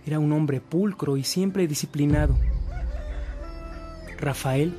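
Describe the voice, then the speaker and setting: A man's voice: a little high, slow pace, mellow. A man narrating a radio drama from a script, as a storyteller. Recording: background music under the voice.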